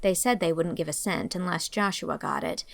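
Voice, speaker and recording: Woman playing an earnest, gravelly-edged young woman. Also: lowish voice, normal speed, no room sound. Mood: bright, chatty. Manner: earnest, expressive, gently scolding. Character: earnest, dreamy, reproachful red-haired young woman. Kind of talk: audiobook character acting.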